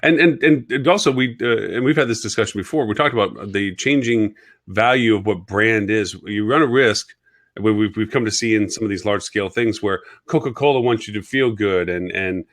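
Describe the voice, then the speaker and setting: A man's voice: fairly low pitch, a little fast, a bit bright, no room sound, studio quality. A man co-hosting a live marketing show, talking casually and repeating words as he reasons things out. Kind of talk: casual live-stream discussion.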